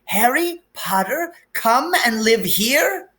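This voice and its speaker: A man's voice: very high voice, very animated, takes it slowly, bright, forceful tone, pitched above the performer's own voice, heavily acted. A man voicing an anxious, stern older witch who is a professor in a storybook. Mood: shocked, indignant. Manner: astonished, disapproving.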